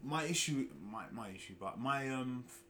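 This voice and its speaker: A man's voice: medium pitch, lively intonation, pushed and bright. Young man, podcast co-host, loose swearing banter, faint noise. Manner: loose, sweary, quick to laugh. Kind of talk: casual chat.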